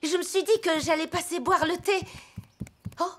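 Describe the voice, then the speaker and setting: A woman's voice: extremely high pitch, steady tone, normal speed, a little hiss or hum. A woman voicing a superstitious, dramatic young female animal in a children's cartoon, speaking emphatically. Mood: flustered. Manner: emphatic, dramatic, given to gloomy warnings. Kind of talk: children's cartoon dub acting. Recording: music under the voice.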